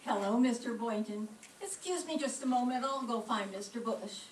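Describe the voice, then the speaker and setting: A woman's voice: fairly high pitch, normal speed, natural voice. A woman playing a cheerful, helpful landlady in a radio comedy. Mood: obliging. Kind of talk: old-time radio comedy acting. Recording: echoey room, distant mic, faint noise.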